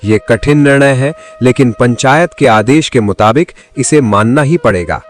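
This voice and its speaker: A man's voice: medium pitch, normal speed, soft background music, natural voice. Stern man acting as village council head. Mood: stern, formal. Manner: formal, authoritative.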